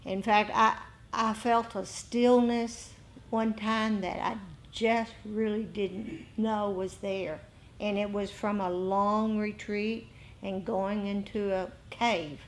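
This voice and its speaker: A woman teaches meditation in an informal dharma talk. Her pitch is average for a woman and her delivery is markedly slow. She is reflective and halting, often circling back over a phrase. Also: fairly even intonation, a little hiss or hum. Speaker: meditation teacher leading a group.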